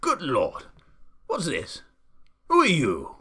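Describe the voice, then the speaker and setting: A man's voice: a little high, wildly swooping pitch, slow, pushed and bright, husky, voice pushed up, exaggerated voice. A man voicing a blustering, pompous, hot-tempered old aristocratic duke from a storybook, bellowing his lines. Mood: flabbergasted, outraged. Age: older. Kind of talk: storybook character voice.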